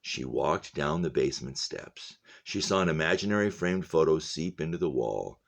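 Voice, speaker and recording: A man reading a novel aloud like a teacher to a class, deliberately and expressively, letting phrase endings drop to a hush. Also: rumbling low, lively intonation, gravelly. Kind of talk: expressive audiobook-style reading with casual spoken commentary.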